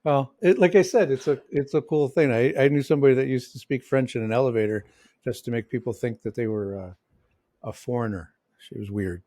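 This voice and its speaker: A man's voice: mid-range voice, darkish tone, dry recording, clean studio sound. A man with a mixed Midwestern and New York accent hosting a casual podcast interview, rambling with lots of restarts and fillers. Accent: Midwestern and New York American. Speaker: podcast host.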